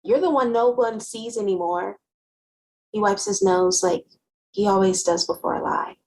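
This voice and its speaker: A woman playing a wry, guarded, sharp-tongued teenage girl who narrates her own story conversationally in a dramatic novel reading. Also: fairly high pitch, sing-song, normal speed, creaky, a little hiss or hum, heavily acted, rough recording. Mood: guarded, frustrated. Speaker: actor.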